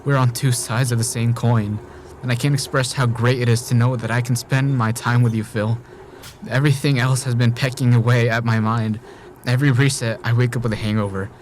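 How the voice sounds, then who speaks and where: A man's voice: mid-range voice, flat delivery, a little fast, lightly acted. A man acting in an audio drama as an obsessive, murderous man trapped in a time loop, speaking with pleading intensity. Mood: pleading, intense. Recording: noisy background ambience.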